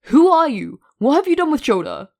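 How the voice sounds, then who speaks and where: A woman voicing a hot-tempered man who is loud, demanding and dramatic in an audio story. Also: high-pitched, sing-song, normal speed, gravelly, pitched above the performer's own voice, exaggerated voice. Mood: furious, threatening.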